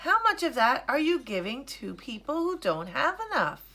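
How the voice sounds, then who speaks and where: A woman's voice: high voice, very animated, moderate pace, bright, forceful tone, full character voice. A woman voicing an earnest, patient young boy in a children's storybook, explaining things instructively.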